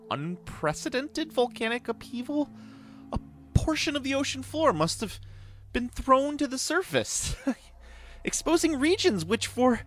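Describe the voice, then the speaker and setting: A man reading gothic horror as a despairing, doomed sailor, with a grave, measured, anguished delivery. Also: very high voice, fairly bright tone, full character voice. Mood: rising horror. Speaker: story narrator.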